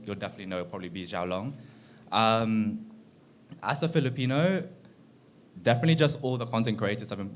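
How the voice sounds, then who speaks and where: Low-voiced man chatting casually, background noise. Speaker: panelist. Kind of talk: casual, enthusiastic panel talk.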